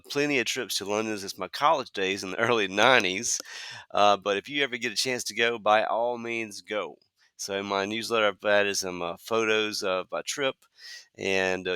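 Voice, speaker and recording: A man in his fifties hosting a solo podcast, talking conversationally with frequent "uh" fillers and a slightly hoarse voice from a cold. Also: fairly low pitch, sharp tone, no room sound. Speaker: podcast host.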